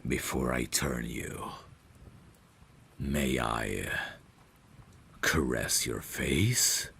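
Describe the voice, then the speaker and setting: A man playing a devoted, courteous vampire butler in an ASMR audio drama, speaking formally and gently in a hushed, gravelly voice that slips toward whispers. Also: very deep voice, heavily acted. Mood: tender, deferential. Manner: slow, formal, gentle, deferential.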